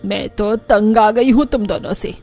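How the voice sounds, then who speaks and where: A woman's voice: mid-range voice, steady tone, normal speed, mellow, close to an everyday voice. A woman voicing a fed-up, bossy mother-in-law who scolds her household in a cartoon story. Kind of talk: Hindi cartoon story dialogue. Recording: music under the voice.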